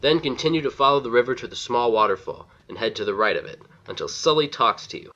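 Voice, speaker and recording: A man's voice: medium pitch, fast, pushed and bright. A man narrating a video game walkthrough as its host, giving plain step-by-step directions. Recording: slightly dull lo-fi voice-over. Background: faint game music.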